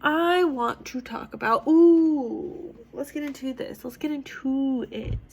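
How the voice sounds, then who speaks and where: A young woman in her twenties talking casually and rambling at home, slightly hoarse from a cold. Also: high voice, slow pace, darkish tone. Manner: rambling, chatty, self-correcting, laughs often. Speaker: vlogger. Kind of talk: casual chat. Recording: clear background noise.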